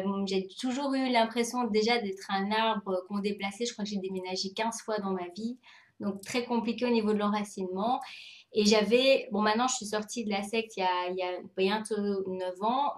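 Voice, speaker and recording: Woman, 40s, from France, therapist guest, casual storyteller, medium pitch, very roomy echoey sound.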